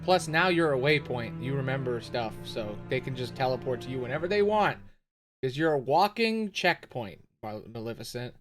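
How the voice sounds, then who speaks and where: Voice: medium pitch, lively intonation, normal speed. A streamer giving casual, sarcastic reaction commentary with swearing and sing-song asides. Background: music and audio of the video being watched.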